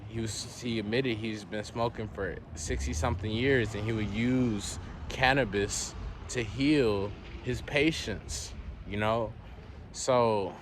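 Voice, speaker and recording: Southern guy rambling, low-ish voice, lots of "you know", some noise behind him. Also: steady tone, takes it slowly. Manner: rambling, frequent "you know", laid-back. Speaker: vlogger. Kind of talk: unscripted monologue.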